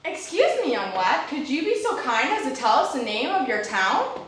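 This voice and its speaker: A woman's voice: high-pitched, very animated, moderate pace, fairly bright tone. A woman playing a genteel, spirited colonial protester on stage, with quaint, exclamatory theatrical delivery. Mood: charmed, polite. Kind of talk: live children's stage play acting. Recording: echoey noisy hall, distant microphone.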